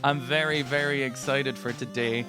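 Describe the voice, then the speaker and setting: A man's voice: mid-range voice, fairly even intonation, moderate pace. A man co-hosting a podcast in casual, enthusiastic chat. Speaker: podcast co-host. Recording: music clearly playing behind. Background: music.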